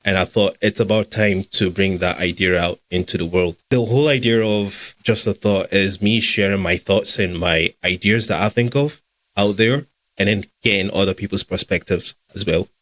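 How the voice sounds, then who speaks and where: A man's voice: low-pitched, moderate pace, a bit bright. A young Scottish man hosting a podcast in an easygoing chat with some fillers. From Scotland. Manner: easygoing, with fillers and small laughs. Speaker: podcast host and founder. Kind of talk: casual chat.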